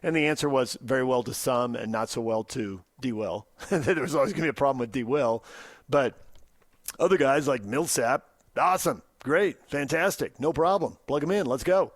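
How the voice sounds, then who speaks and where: A man's voice: medium pitch, normal speed, no echo. A man hosting sports radio, talking through unscripted commentary and thinking aloud, sometimes voicing imagined quotes.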